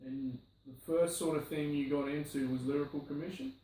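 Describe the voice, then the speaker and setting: A man's voice: fairly high pitch, fairly even intonation, fairly quick, dark tone. A man interviewing a guest in a casual way, asking long leading questions. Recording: far from the mic in a very echoey room.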